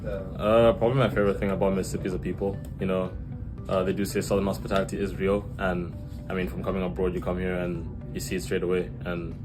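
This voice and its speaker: A young college soccer player from Malawi, talking in a relaxed, conversational way. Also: male voice, low-pitched, quick pace, over music. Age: young adult.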